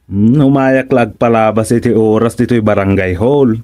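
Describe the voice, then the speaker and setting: An actor with an Ilocano accent playing a chummy, flattering village councilman in a radio comedy. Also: fairly low pitch, normal speed, natural voice.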